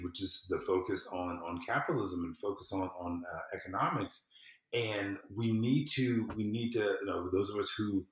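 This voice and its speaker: An American man speaking as a podcast guest in casual conversation, earnest and emphatic, often halting and repeating words. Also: low voice. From southern United States. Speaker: podcast guest, civic empowerment consultant. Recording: roomy, echoey sound.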